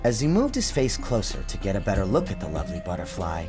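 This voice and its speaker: A man with a low voice reads a storybook tale in a theatrical, measured way. His pitch rises and falls widely as he narrates, and music plays clearly behind him. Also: huge pitch swings. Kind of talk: dramatic storybook reading.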